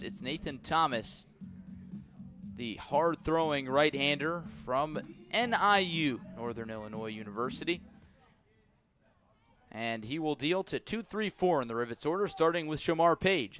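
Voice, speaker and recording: A man calling baseball play-by-play live on the radio, with punchy calls between measured narration. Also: mid-range voice. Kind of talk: live radio sports commentary.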